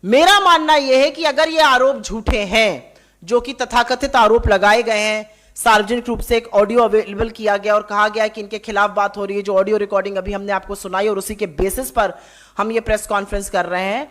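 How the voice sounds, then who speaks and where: A woman's voice: fairly high pitch, a bit bright. A woman spokesperson making an emphatic, accusatory press conference statement, pressing her points with rhetorical questions. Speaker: political party spokesperson.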